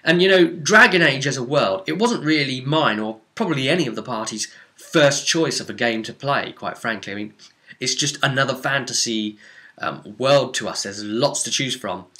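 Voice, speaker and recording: A man's voice: medium pitch, lively intonation, moderate pace, sharp tone. A man chatting casually and emphatically as a game master recapping a tabletop roleplay campaign on his vlog, with an enthusiastic manner. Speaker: game master presenting a vidcast.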